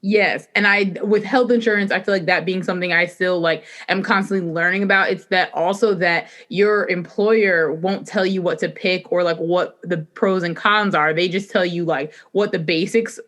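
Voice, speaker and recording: A woman's voice: on the low side, fairly even intonation, normal speed, hardly any pauses, a bit bright. A woman in her late twenties from New York, explaining things casually as a podcast guest, often saying "like". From New York, United States. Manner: conversational, peppered with "like". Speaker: podcast guest, adulting brand creator. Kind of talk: casual explanatory interview chat.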